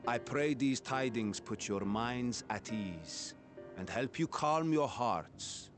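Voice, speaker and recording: A man's voice: medium pitch, expressive, slow pace, music in the background. An actor playing a composed male ruler in a video game cutscene, addressing frightened people in stately, formal speech. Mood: solemn, reassuring.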